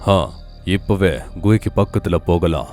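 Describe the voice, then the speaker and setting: A man's voice: sits low, animated, unhurried, over music. A man voicing a scheming, greedy male goat in a cartoon fable. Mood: scheming, gleeful.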